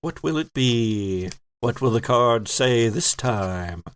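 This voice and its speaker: A man's voice: medium pitch, animated, slow, smooth. A man improvising narration as game master of a tabletop horror game.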